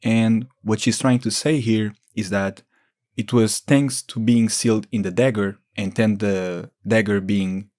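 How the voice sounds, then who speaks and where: A man's voice: fairly low pitch, fairly even intonation, unhurried, darkish tone. A man giving informal, explanatory lore commentary as a gaming video creator.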